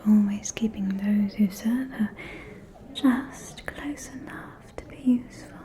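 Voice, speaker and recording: A woman playing a scheming, falsely caring aristocratic vampire in a whispered ASMR roleplay, with a soft, breathy, silky and insinuating delivery. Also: medium pitch, fairly even intonation, lightly acted. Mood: conspiratorial. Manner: slow, silky, insinuating, condescending.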